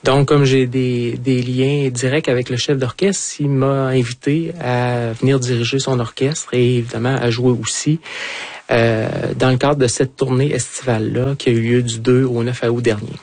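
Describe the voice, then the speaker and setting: A man's voice: mid-range voice, fairly even intonation, moderate pace, a little mellow, dry recording. A middle-aged man with a Quebec French accent, answering in a radio interview as a trumpeter and conductor, hesitant with many fillers and restarts.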